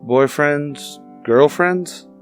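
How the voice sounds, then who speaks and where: A man's voice: a little high, very slow, soft background music. A man playing a businesslike police detective who questions in a clipped, matter-of-fact way in a radio drama. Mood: probing. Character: businesslike male police detective partner, probing. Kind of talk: old-time radio detective drama acting.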